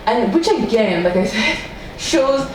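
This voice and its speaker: A woman hosting a podcast, telling a true-crime story in a casual, animated way and quoting people in a raised voice. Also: on the high side, takes it slowly. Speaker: podcast host. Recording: echoey room from far off with faint noise.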